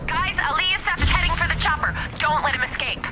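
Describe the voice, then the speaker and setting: Brisk female mission handler, businesslike and crisp, woman actor, game cutscene. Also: sits high, loud music behind the voice, lightly acted. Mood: briefing. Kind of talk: video game cutscene acting.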